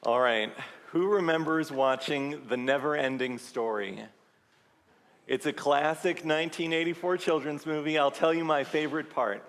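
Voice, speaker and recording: A man leading a church service, giving a sermon-style reflection to the congregation in an earnest, measured way. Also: fairly high pitch, fairly bright tone. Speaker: service leader. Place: large church sanctuary. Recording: long reverb of a large church hall.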